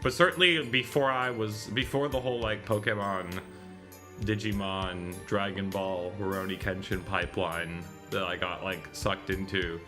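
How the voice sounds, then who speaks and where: A man's voice: deep voice, fairly even intonation, normal speed, over music. A man in his thirties hosting a live stream, chatting casually in a rambling, digressive way and often correcting himself. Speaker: live streamer hosting a visual novel readalong. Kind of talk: casual livestream chat.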